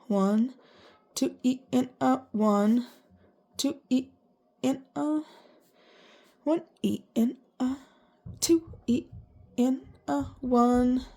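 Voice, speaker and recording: A woman music teacher with a congested voice, explaining rhythm reading and counting beats aloud in strict, even syllables. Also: high voice, very slow, long pauses. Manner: chatty and self-deprecating between counts.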